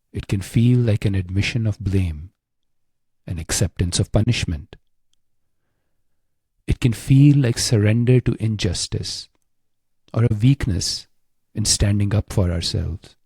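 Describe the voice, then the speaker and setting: A man guiding a scripted meditation in a hushed, solemn, deliberate way, pausing often, close to the microphone. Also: on the low side, lively intonation, husky, no room sound. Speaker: meditation guide. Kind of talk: slow scripted guided meditation.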